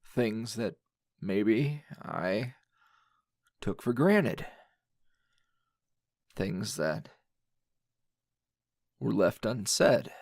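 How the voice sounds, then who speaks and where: A man playing a grouchy, wounded anime hero in a dramatic ASMR roleplay, sardonic and halting in manner. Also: fairly low pitch, animated, a little mellow, no echo, studio quality, full character voice. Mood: pleading, regretful. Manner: sardonic, halting, emotionally raw.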